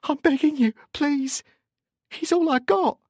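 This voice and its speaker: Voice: medium pitch, very slow, vocal fry, no room sound, studio quality, pitched above the performer's own voice. A narrator voicing a protective, distraught working-class mother who protests and pleads. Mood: tearful begging.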